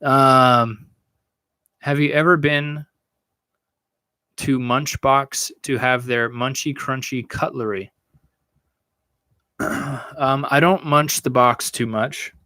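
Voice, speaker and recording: A young man from the States hosts his own podcast stream and answers viewers off the cuff. He talks in a crude, teasing, swear-heavy way at a middle pitch. His voice comes through a stand microphone in a small room, close and dry with almost no room sound. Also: steady tone, slow pace, pauses often, pushed and bright, dry recording. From United States. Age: young adult. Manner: crude, joking, swears a lot. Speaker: podcast host answering chat. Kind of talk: casual livestream chat. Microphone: studio mic on a stand in front.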